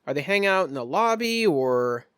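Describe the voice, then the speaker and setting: A man's voice: on the high side, big pitch swings, moderate pace, fairly bright tone, clear, resonant tone. A man casually narrating a tabletop role-playing game as game master.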